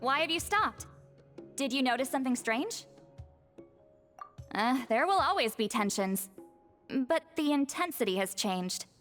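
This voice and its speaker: A composed scholarly woman from a video game, with a fairly high voice. They speak in a measured, articulate, gently firm way over faint music. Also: lively intonation. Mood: curious.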